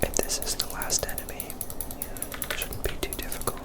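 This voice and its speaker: Whispering ASMR gamer. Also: normal speed. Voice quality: breathy unvoiced whisper. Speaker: gaming ASMR video creator. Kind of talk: ASMR gameplay commentary.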